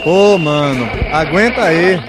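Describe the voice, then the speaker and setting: A man's voice: a little high, lively intonation, slow, low-quality recording. An actor playing an enthusiastic, easygoing young Brazilian man in a radio soap, talking in eager slang. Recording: music playing behind voice.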